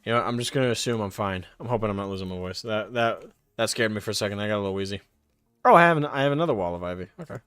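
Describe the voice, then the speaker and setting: Smooth-voiced man chatting on a stream. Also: on the low side, rapid-fire, a bit bright, no room sound, clean studio sound, faint music behind. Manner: reads card text aloud, then rambles into anecdotes. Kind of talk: casual live stream chat.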